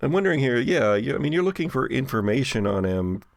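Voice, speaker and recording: A man working as game master, improvising descriptive horror narration for a tabletop role-playing game in a halting storyteller's way. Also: medium pitch, very animated, creaky, no room sound. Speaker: game master (the Keeper) of an actual play podcast.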